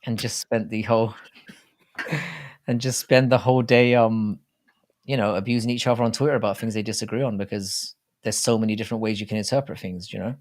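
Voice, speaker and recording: A man's voice: lowish voice, fairly even intonation, brisk. Sweary, rambling British man. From Britain. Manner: sweary, blunt, rambling banter. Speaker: live stream co-host. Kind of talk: casual sports chat.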